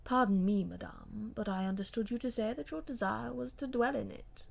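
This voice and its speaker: A woman voicing a cold, proud gentleman husband who speaks with stiff, formal politeness in an audiobook romance. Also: on the high side, moderate pace, vocal fry. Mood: cold, sarcastic.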